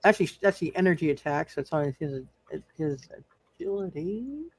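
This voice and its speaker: A man with a fairly high voice acts as game master for a superhero roleplay session. He talks in halting bursts, often repeating words as he works through the rules. The sound is dry, with little room in it. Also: expressive, no room sound. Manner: halting, repeats words while thinking aloud. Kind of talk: running a live tabletop superhero roleplay game.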